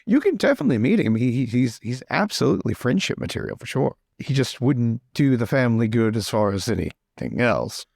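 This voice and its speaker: A man's voice: mid-range voice, very animated, fairly quick, creaky, few pauses, darkish tone, no room sound. A man playing a breezy, teasing young lord in a tabletop game, with playful bursts of repeated words. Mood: breezy, chatty.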